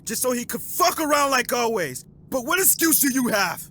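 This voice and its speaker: A woman's voice: high-pitched, big pitch swings, normal speed, sharp tone, slight background noise, full character voice. A woman playing an anguished, accusing young agent in an audio drama, confrontational and intense. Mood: cold, accusing.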